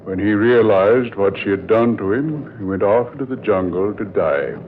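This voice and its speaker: An actor playing a grave doctor who calmly unveils a deadly secret in an old-time radio drama, with measured, deliberate storytelling. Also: male voice, fairly low pitch, close to an everyday voice. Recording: muffled, noisy old radio recording.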